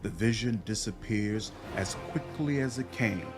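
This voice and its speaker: A man's voice: lowish voice, a little slow, over music. A man narrating a scripted voice-over as a gaming channel host.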